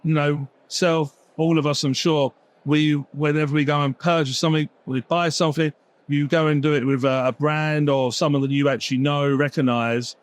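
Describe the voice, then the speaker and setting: A man on a business panel giving measured explanations. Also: a little high, steady tone, no echo. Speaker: company executive. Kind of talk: panel conversation.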